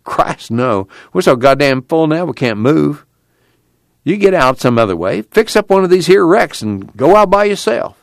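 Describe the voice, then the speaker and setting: A man's voice: a little high, big pitch swings, normal speed, gravelly, no room sound, clean studio sound, voice pushed up. Man doing a brash, impatient young country working man. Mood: brusque. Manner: folksy, blunt, profane rural speech. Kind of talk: acted audiobook dialogue.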